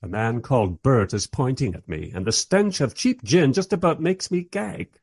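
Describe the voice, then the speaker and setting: An actor playing a wry, curious time traveller who narrates a radio play in the first person and speaks in its dialogue. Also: male voice, mid-range voice, animated, normal speed, darkish tone, no room sound. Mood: wry, amused. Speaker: narrator and lead character.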